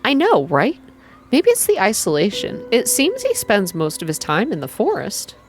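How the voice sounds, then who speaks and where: A woman's voice: medium pitch, huge pitch swings, moderate pace, music in the background, voice pushed up. A woman voicing a chatty, friendly young female character in visual novel dialogue, conversational and gossipy.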